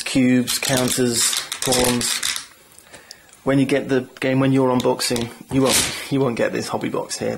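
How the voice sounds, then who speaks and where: A man's voice: mid-range voice, creaky, noisy background. A man hosting a board game channel, walking viewers through setup and rules in a chatty, enthusiastic way with self-deprecating asides. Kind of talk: tabletop game rules walkthrough.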